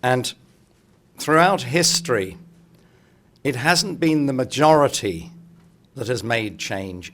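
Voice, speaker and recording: A middle-aged man with a croaky, hoarse voice opening a conference in a hotel meeting room, chatty and joking with the audience. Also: mid-range voice, fairly bright tone. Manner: chatty, jokey, addresses the room directly. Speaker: speaker opening a political congress.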